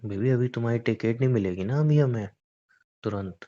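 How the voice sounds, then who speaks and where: A man's voice: medium pitch, fairly quick, dark tone, close to an everyday voice. A man playing a stubborn, teasing young boyfriend in a romantic phone-call roleplay, argumentative and coaxing in casual conversation. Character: stubborn, teasing young boyfriend defending his boys' trip. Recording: dull lo-fi phone call recording.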